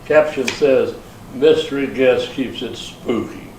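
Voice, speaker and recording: A man reading the part of a wry police detective in an amateur readers theater, deliberate in manner. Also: mid-range voice, darkish tone, close to an everyday voice. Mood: focused. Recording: echoey room from far off, faint noise.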